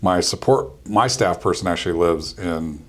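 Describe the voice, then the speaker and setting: A man in his fifties, an insurance agency owner, talking in a casual, rambling way with many pauses and ums as a podcast guest. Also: deep voice. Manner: easygoing, rambling, frequent ums.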